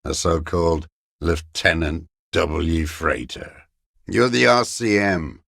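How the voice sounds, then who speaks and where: An embittered old male revolutionary, the last defender of a lost cause. His voice is extremely low and full of gravel, and he speaks slowly. He declaims like a haunted video game ideologue, proud and accusing. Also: fairly bright tone, dry recording, studio quality, full character voice. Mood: bitter, accusing. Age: older. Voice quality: gravelly, raspy, worn low voice. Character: bitter old communist deserter, last defender of a fallen commune. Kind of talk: dramatic video game voice acting.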